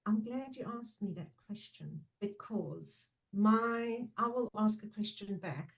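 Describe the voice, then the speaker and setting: A middle-aged woman whose first language is Portuguese, a guest speaking in a thoughtful, measured way with frequent pauses. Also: mid-range voice, gravelly. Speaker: guest, trainer and former teacher. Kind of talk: reflective podcast conversation.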